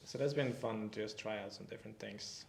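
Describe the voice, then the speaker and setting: A man's voice: on the low side, fairly quick, creaky, darkish tone. A young man, an art student, speaking casually and hesitantly with many fillers. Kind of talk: casual live stream chat. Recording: echoey room, faint background hum.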